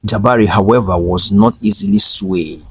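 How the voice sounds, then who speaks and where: A man's voice: on the low side, moderate pace, vocal fry, breathy edge. A man narrating a scripted folktale as a storyteller. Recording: roomy echo over faint music.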